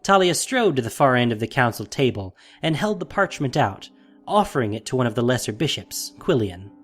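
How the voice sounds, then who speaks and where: A man's voice: mid-range voice, big pitch swings, brisk, faint music behind. A man narrating a fantasy audio drama in a dramatic, scripted storytelling style.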